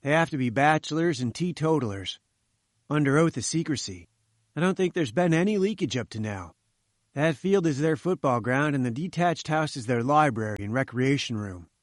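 A man's voice: fairly high pitch, animated, normal speed, no echo, natural voice. Man voicing breezy young journalist, dry close sound. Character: brisk young male journalist.

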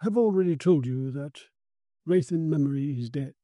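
A man voicing a commanding, learned and grave occult investigator in a dramatised story, emphatic and with hesitant pauses. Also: a little high, dry recording, muffled recording, lightly acted. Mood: grave, explaining. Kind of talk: dramatised audiobook character.

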